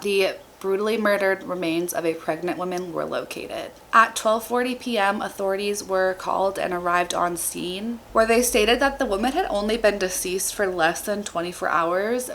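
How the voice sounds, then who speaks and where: A young woman with a sore throat, sounding slightly raspy, narrating a true crime case to camera in a chatty, earnest way. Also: medium pitch, a bit bright, a little hiss or hum, low-quality recording. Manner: chatty and earnest, apologetic asides.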